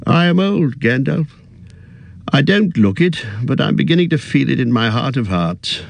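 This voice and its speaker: Fussy old hobbit voiced by a male narrator, high and quavering, husky edge, dry close sound. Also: lively intonation, moderate pace, no echo. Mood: wistful. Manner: high quavering, petulant and defensive. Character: fussy elderly male hobbit, turning possessive.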